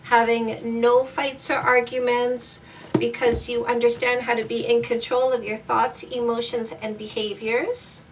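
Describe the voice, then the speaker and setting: A woman's voice: a little high, flat delivery, measured pace, distant mic, noisy background. A woman dating coach from Canada hosting a live stream, reading viewer comments aloud in an upbeat, affectionate way.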